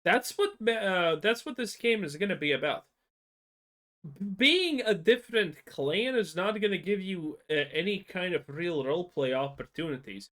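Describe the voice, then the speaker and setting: A man's voice: high voice, animated, normal speed, fairly bright tone. A man reacting to a video on his stream, casual and blunt, swearing freely in heated opinions.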